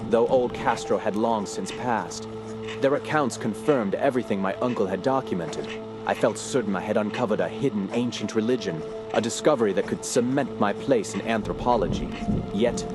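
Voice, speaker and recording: An actor playing an earnest, troubled scholar in a radio drama, speaking in a formal, measured, literary way. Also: male voice, on the low side, close to an everyday voice. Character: earnest, troubled male scholar investigating a sinister cult. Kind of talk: radio drama narration and dialogue. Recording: music clearly behind the voice. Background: music.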